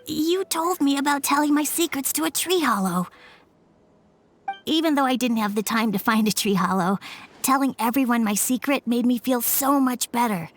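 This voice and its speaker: A woman's voice: high voice, moderate pace, a little hiss or hum, close to an everyday voice. A woman voicing an earnest, cheerful young boy in a game. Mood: warm. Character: hopeful young boy artist, eager. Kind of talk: game voice acting.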